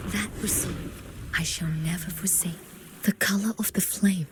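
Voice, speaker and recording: A woman playing a gentle, sorrowful healer in a fantasy game, speaking softly and formally, often close to a whisper. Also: on the low side, steady tone, background noise, lightly acted. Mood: solemn. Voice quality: soft, breathy, often near whispering. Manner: solemn, measured, formal speech. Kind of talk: scripted fantasy game dialogue.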